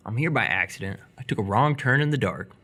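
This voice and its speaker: Teenage boy played by a man, nervous, inquisitive, earnest, animated pitch, stumbling delivery for radio theatre. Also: fast, a little hiss or hum. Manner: hesitant, rambling, earnest. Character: Nordal, awkward, earnest, inquisitive seventeen-year-old boy. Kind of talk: live radio theatre acting.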